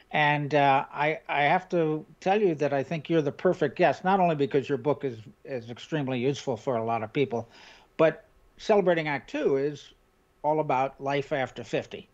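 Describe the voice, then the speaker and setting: Halting older man host, lo-fi call. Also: fairly high pitch, a little mellow. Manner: hesitant, halting with many uh fillers. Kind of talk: video call interview. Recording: dull lo-fi call audio.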